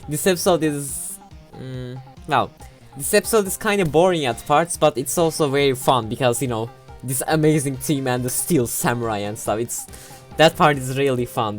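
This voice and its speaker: Young woman game streamer, very low voice, rambling and hesitant, music under. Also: moderate pace. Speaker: let's play host. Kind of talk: casual game commentary. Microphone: home computer mic.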